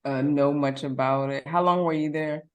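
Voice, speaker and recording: A woman's voice: deep voice, steady tone. Chatty woman podcast co-host. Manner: chatty, halting, many fillers and restarts. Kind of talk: casual interview chat.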